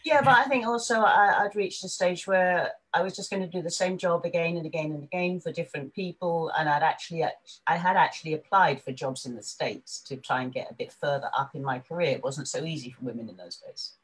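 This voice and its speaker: An older woman with an English accent and a fairly low voice tells her story in a relaxed, chatty way. She laughs easily and comes alive when she quotes other people. She sounds like she is on a video call. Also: brisk, breathy edge, poor audio. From England. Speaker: guest. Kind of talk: casual interview storytelling.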